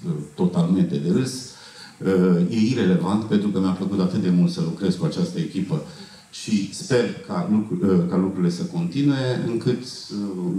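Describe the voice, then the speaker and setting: A middle-aged man telling anecdotes to an audience in a halting way, often clearing his throat, on a festival stage. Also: sits low, warm tone. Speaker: film actor at festival Q&A. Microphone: handheld mic, heard from far camera. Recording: echoey hall, distant camera pickup.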